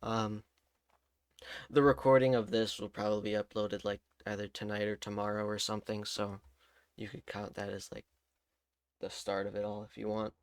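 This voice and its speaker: A man from Michigan co-hosting a casual group Q&A, easygoing and quick to laugh, talking with loose fillers. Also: lowish voice, little pitch movement, dry recording.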